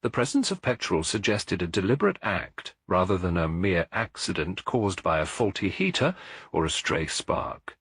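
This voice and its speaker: A man's voice: sits low, very animated, normal speed, a bit bright, breathy edge, no echo, studio quality. A man reading a scripted detective mystery as an audiobook narrator.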